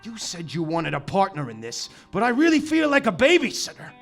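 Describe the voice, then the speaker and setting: A man's voice: high voice, wildly swooping pitch, fairly quick, faint music behind, heavily acted. A man playing an easygoing island partner. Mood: earnest. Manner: easygoing, calls people brother and cuz. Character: laid-back Hawaiian male partner turned traitor. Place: live theater stage.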